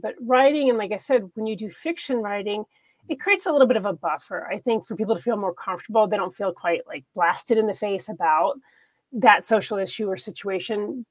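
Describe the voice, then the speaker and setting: A woman's voice: medium pitch, dry recording. A woman author being interviewed, speaking thoughtfully and earnestly in casual conversation. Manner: thoughtful, earnest, laughs easily. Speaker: author guest.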